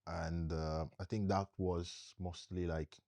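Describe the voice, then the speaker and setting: Low, mellow man from Italy. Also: flat delivery, slow pace, vocal fry, no room sound. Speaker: podcast co-host. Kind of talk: casual conversation.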